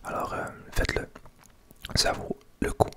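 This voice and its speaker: A Québécois man speaks entirely in a soft whisper, with almost no voiced tone. The sound is bright and sibilant. He guides viewers gently and without hurry through a video game, in the style of an ASMR gameplay commentary. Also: unhurried. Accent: Québécois French. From Quebec.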